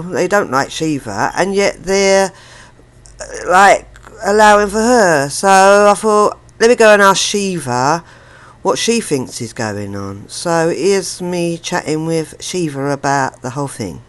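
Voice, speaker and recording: A woman host talking informally, in a halting, rambling way that often repeats words and circles back. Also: deep voice. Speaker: interviewer and video host. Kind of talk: rambling informal commentary and casual chat.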